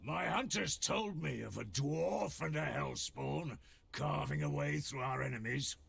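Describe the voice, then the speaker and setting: Gravelly, fierce warrior chief. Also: male voice, a little high, measured pace, fairly bright tone, full character voice. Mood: grim. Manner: defiant, declamatory. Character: fierce proud orcish hunter chief, male, battle-hardened. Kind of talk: video game voice acting.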